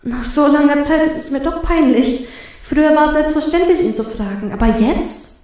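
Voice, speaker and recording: Woman acting a timid young woman, flustered, hesitant, higher-pitched, audio story, heavily echoing recording. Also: animated, moderate pace, mellow. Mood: embarrassed. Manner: hesitant, nervous stammering. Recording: very echoey, reverberant voice.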